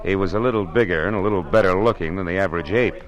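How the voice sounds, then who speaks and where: An actor playing a wisecracking hardboiled private detective in an old radio drama. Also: male voice, low-pitched, racing, muffled recording, lightly acted. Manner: dry, wisecracking, laconic. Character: hardboiled male private detective, wry and cool. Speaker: narrator and lead. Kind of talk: 1950s radio acting.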